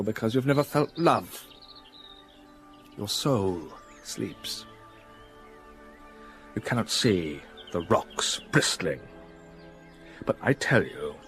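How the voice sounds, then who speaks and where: An actor playing a brooding, sardonic gentleman in a radio drama, speaking in a commanding, brusque way. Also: lowish voice.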